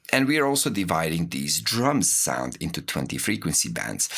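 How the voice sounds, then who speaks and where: A man giving a software tutorial as an instructor, explaining step by step while he demonstrates. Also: lowish voice, vocal fry. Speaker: music production instructor.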